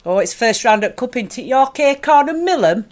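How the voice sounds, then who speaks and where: A woman's voice: on the high side, lively intonation, moderate pace, lightly acted. A woman acting a chatty, nosy Yorkshire shopkeeper in a radio play, gossipy and a bit saucy. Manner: gossipy, colloquial.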